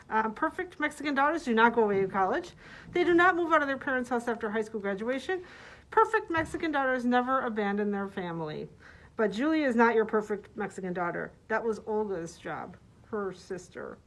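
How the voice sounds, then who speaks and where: A woman's voice: a little high, low-quality recording. A woman librarian recommending books, partly reading their summaries aloud. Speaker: librarian presenting book picks.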